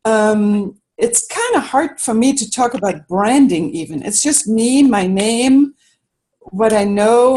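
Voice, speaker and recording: A woman's voice: mid-range voice, warm tone, rough recording. A woman from Germany, an interior designer, asking a question on a group call in a thoughtful, hesitant way. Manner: thoughtful, hesitant, searching for words.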